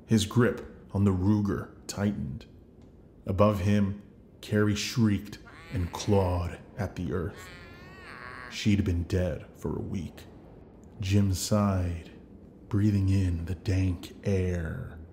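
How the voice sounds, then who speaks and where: A man's voice: low voice, fairly even intonation, slow, mellow, a little hiss or hum. A man working as a voice actor, reading scripted narration for a demo. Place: home recording setup.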